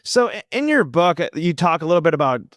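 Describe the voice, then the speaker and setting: A man's voice: a little high, big pitch swings, quick pace, fairly bright tone, no room sound. Casual male podcast host, dry sound. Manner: informal, frequent "like" and "you know". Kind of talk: casual chat.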